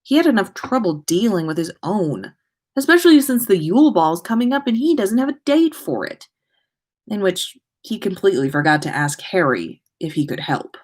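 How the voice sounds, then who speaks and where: A woman host reading fan fiction aloud in an expressive way, with small stumbles and self-corrections. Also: medium pitch, normal speed. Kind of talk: expressive story reading, livestream.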